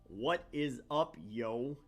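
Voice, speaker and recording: A man's voice: medium pitch, takes it slowly, soft background music. A man hosting a stream, playful and chatty, moving between casual talk and narrating a visual novel. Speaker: streamer, co-host.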